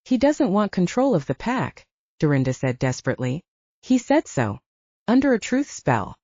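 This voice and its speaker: Stubborn, outspoken heroine acted by a female narrator, audiobook romance, soft dark tone, expressive intonation. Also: on the low side, normal speed, dry recording, highs cut off. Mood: desperate. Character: stubborn, defiant woman in love with a werewolf.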